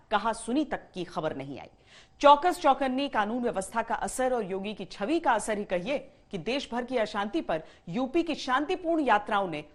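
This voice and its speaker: A woman anchoring the news, presenting a scripted report. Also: on the high side.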